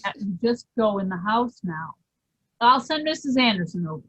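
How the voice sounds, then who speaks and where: Helpful neighbour for radio play, played by a woman; bright, forceful tone, hesitant delivery, slightly dull recording. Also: medium pitch, very animated, moderate pace, husky. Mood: concerned, reassuring.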